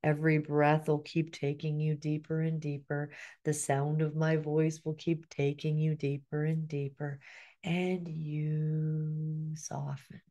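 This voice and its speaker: A middle-aged woman guiding a hypnosis session in a slow, soothing way, often sinking into a soft whisper. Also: sits low, little pitch movement, muffled recording.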